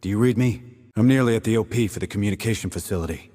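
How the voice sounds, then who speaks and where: An actor playing a terse, dry, confident military sniper in a video game, with a husky voice and clipped, controlled reports. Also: male voice, lowish voice, very fast, mellow, dry recording, natural voice. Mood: businesslike. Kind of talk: scripted military game dialogue.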